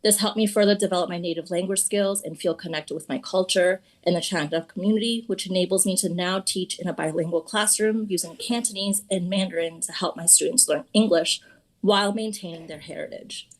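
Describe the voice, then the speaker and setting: A woman's voice: on the low side, brisk. Earnest woman reading formal testimony. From Boston area. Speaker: teacher and parent giving public comment. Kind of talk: reading prepared public testimony. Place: city school committee meeting.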